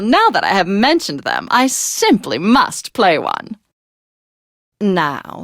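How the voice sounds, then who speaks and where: A woman's voice: a little high, a bit bright, dry recording, studio quality, exaggerated voice. An actress playing a refined, gushing woman who hosts classical radio with prim, old-fashioned theatrical diction. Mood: refined, chatty. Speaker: radio host. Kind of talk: scripted classical radio DJ patter.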